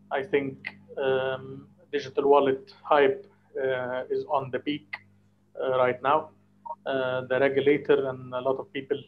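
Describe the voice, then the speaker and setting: A man from Egypt speaking as a founder on an online panel, measured and steady. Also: medium pitch. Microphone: video call. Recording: dull audio with faint hum.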